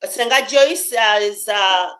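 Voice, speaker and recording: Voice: medium pitch, slow, pushed and bright. A participant in an online meeting, reporting which donations they are receiving and who is tracking the list. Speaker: donation treasurer. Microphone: video call.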